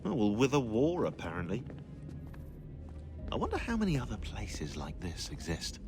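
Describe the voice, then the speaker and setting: A man's voice: on the low side, wildly swooping pitch, normal speed. A man voicing a bookish, sarcastic young British historian in a video game, pedantic and emphatic in conversation. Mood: dry, sardonic. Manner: wry, pedantic, emphatic storytelling. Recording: music playing behind the voice.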